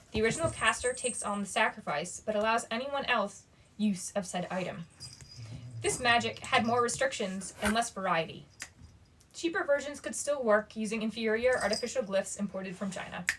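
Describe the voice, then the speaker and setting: A woman's voice: on the high side, a bit bright, poor audio. Woman reading a fantasy story aloud. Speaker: bookshop story reader. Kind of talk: reading a young adult fantasy novel aloud.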